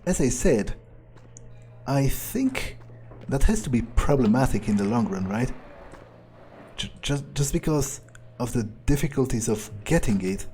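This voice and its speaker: A man's voice: mid-range voice, warm tone, a little hiss or hum. A man doing in-character gameplay commentary, thinking aloud in a nonchalant, rambling way with casual swearing. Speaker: let's player.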